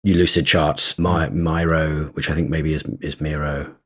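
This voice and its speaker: A man's voice: very deep voice, level tone, moderate pace, fairly bright tone, breathy edge. A man co-hosting a casual podcast, easygoing and self-deprecating. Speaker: podcast co-host. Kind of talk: casual chat.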